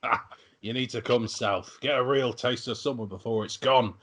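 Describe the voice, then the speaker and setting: A man's voice: medium pitch, moderate pace, fairly bright tone, lightly acted. A man playing a boisterous, blunt, imperious fantasy king in his forties at an amateur table read. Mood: hearty, boisterous.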